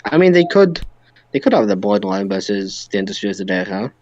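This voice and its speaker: A man's voice: on the low side, sing-song, normal speed, darkish tone, dry recording, muffled recording. A man co-hosting a podcast, chatting casually about wrestling. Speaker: podcast co-host.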